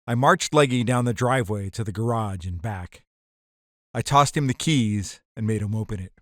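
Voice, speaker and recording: A man's voice: fairly low pitch, moderate pace, no echo, studio quality, natural voice. Man acting a terse, wry hardboiled noir gangster. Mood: wry, reflective. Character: hardboiled male ex-bootlegger narrating his own caper. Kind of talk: noir audio drama narration.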